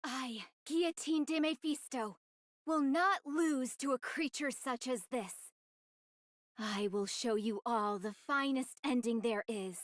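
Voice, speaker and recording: A woman's voice: sits high, slow pace, no echo, clean studio sound. A woman voicing a boastful young heroine in an English anime game dub, speaking in grand, dramatic declarations. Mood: bold, defiant. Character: theatrical, boastful young heroine who fancies herself a dragon slayer.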